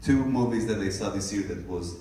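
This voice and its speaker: Young Israeli filmmaker at a Q&A, low voice, hesitant, heard from far off in an echoey cinema. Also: male voice, fairly quick, dark tone, a little hiss or hum. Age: young adult. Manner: hesitant, many fillers and restarts. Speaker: filmmaker guest. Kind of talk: live Q&A answers. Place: large cinema stage. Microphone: handheld mic heard from the audience. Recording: echoey theater recorded from far.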